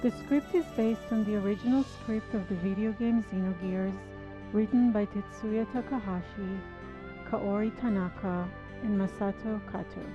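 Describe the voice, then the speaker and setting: A woman's voice: medium pitch, normal speed. Woman reading credits over music. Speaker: credits reader. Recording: dull lo-fi recording with music.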